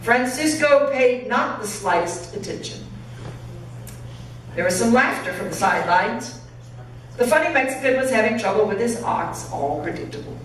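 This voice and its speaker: A woman pastor reading a story aloud expressively and deliberately to listeners in a large church. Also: on the high side, lively intonation, fairly bright tone. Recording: very echoey church, distant mic, faint noise.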